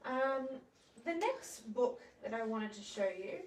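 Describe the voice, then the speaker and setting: Woman doing kids storytime, high voice, simple explaining with questions, echoey room. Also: animated, slow pace, a little hiss or hum. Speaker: children's storytime presenter. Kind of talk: children's storytime with songs and read-alouds. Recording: roomy echo, distant microphone.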